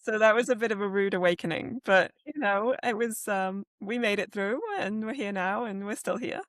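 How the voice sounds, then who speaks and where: A woman's voice: mid-range voice, steady tone, normal speed, dry recording, studio quality. A woman in her thirties, raised in Switzerland with a British-tinged European accent, chatting casually as a guest and restarting her sentences often. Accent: British-tinged European English. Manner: chatty, self-deprecating, many fillers and restarts. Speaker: journalist and author, guest. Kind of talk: casual interview conversation.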